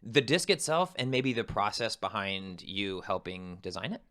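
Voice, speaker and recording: Bright-voiced male interviewer. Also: on the low side. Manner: upbeat, quick, asks rambling questions. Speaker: interviewer, channel host. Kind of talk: casual interview chat.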